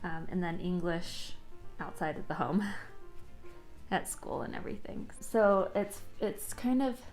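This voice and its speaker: A young woman chatting casually while she does her makeup, telling stories in an easygoing, friendly way. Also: medium pitch. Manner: chatty, warm storytelling with light laughs. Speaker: vintage lifestyle vlogger. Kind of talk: casual vlog chat while doing makeup.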